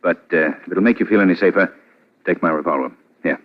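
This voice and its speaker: An actor playing a self-assured crime-fighting hero in a 1940s radio drama, declamatory and commanding. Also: male voice, low-pitched, fast talker, natural voice. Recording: muffled old-time radio recording.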